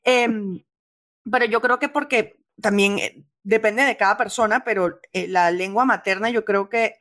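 A woman from Venezuela with a Venezuelan accent, a comedian chatting casually in an interview, lively and laughing often, slipping English phrases into her talk. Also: medium pitch, measured pace. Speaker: stand-up comedian, guest.

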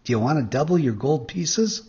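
A sly, smooth-talking fox from a storybook, acted out by a man, courteous and persuasive. The voice sits fairly high and the sound is a little dull and muffled. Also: lively intonation, normal speed, dark tone, natural voice. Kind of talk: storybook character reading.

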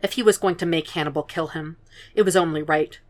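A woman narrating a fan fiction podfic in a quiet, measured reading voice. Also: low voice.

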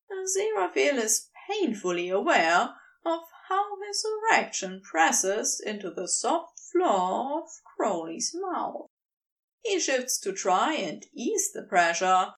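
A woman narrates fiction in a high voice with lively, swooping intonation. She reads expressively and unhurriedly, as a scripted audiobook. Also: far from the mic.

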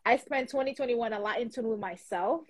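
A young woman who works as a therapist, guesting on a podcast over a call, relaxed with lots of fillers. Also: fairly high pitch, fairly quick. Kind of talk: casual conversation.

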